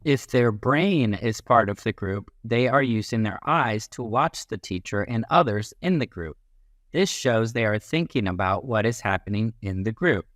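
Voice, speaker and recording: A man reading a children's book aloud as a teacher, in an expressive, instructive way that leans on key phrases. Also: lowish voice, normal speed, no echo, clean studio sound.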